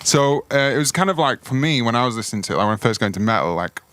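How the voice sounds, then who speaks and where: Fast-talking British male podcast host. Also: mid-range voice, expressive, loud music behind the voice. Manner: chatty, enthusiastic, rambling. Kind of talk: casual podcast interview chat.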